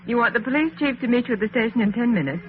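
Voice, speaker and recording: An actress playing a loyal, eager detective's assistant, brisk and confident, in 1940s radio style. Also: female voice, fairly high pitch, lively intonation, narrow, tinny audio, noisy background, natural voice. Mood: brisk, efficient. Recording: thin, boxy 1940s radio recording.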